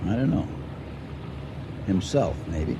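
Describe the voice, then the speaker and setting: An actor playing a wry, skeptical older farmer husband with an American accent, dry and understated in old-time radio drama style. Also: male voice, sits low, expressive, takes it slowly. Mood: quietly suspicious. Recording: noisy old radio broadcast recording.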